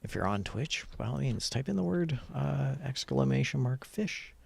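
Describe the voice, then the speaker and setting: A man's voice: medium pitch, lively intonation, moderate pace, darkish tone, no room sound. A man hosting a livestream, chatting casually and reading aloud, rambling and narrating what he does as he goes. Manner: rambling, self-narrating, repeats himself. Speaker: livestream host. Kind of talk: casual gaming livestream chat and story readalong. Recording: clear background noise.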